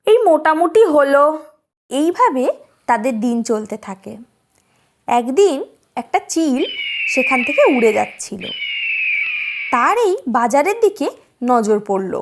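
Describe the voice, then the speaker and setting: A woman's voice: sits high, very animated, measured pace, long pauses. A woman narrating a scripted children's story as its storyteller.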